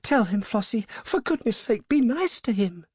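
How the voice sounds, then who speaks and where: A man's voice: very high voice, sing-song, normal speed, darkish tone, breathy edge, no room sound, clean studio sound, pitched above the performer's own voice, full character voice. A man voicing a silly, panicky young actress in an audiobook, with gasping, pleading exclamations. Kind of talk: audiobook character voice.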